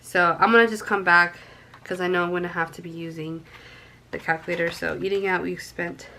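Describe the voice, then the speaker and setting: A woman's voice: fairly low pitch, bright, forceful tone, a little hiss or hum. A young woman with a stuffy nose from a cold, chatting casually to camera and counting cash aloud with frequent ums. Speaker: budgeting vlogger.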